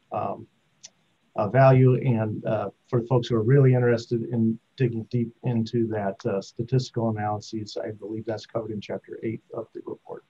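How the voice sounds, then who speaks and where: Husky, mellow man explaining haltingly. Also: lowish voice. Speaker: survey researcher on a panel. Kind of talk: technical explanation. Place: indoors on a video call. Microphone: computer video-call microphone. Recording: dull lo-fi video-call audio.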